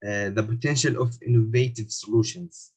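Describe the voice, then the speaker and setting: A man's voice: mid-range voice, normal speed, husky. A young man from Syria, a new engineering graduate, presenting his team's project on a video call from a prepared text, with some grammar slips. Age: young adult.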